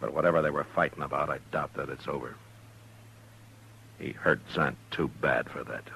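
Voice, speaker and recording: Deep-voiced, steady American frontier marshal. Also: male voice, creaky. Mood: stern. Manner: measured, firm, understated. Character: steady, stern adult US marshal of a frontier town. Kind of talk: 1950s radio western acting. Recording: lightly noisy old radio recording.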